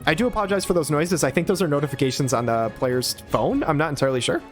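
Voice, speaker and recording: A man in his thirties giving quick, excited play-by-play of a game on a headset mic, his voice hoarse from a cold. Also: a little high, soft background music. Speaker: game caster. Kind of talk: play-by-play commentary.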